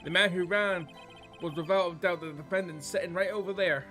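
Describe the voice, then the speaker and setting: A man voicing a shifty newspaper seller giving stiff, deliberate testimony in a visual novel game. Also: high-pitched, bright, forceful tone, soft background music. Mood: recounting.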